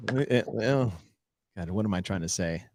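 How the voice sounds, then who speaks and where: A man's voice: on the low side, measured pace, a little mellow, no room sound, studio quality. A man co-hosting a casual podcast, teasing his partner and laughing often as he talks. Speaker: podcast co-host.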